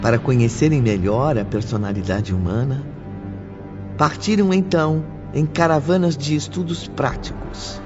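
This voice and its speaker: A man's voice: medium pitch, moderate pace. A man reading scripted third-person audiobook narration as the storyteller. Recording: dull sound, music underneath. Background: music.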